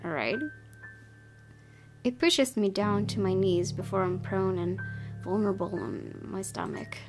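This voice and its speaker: A woman with an expressive, excitable delivery, reading a horror visual novel aloud as a let's play host and reacting to it. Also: mid-range voice, measured pace. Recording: loud game music behind voice. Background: loud game music.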